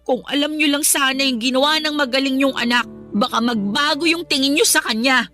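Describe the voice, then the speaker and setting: A woman's voice: high voice, brisk, music in the background, natural voice. A woman playing a timid but insistent, wounded young niece in a Tagalog radio drama, respectful and pleading. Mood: resentful, holding back.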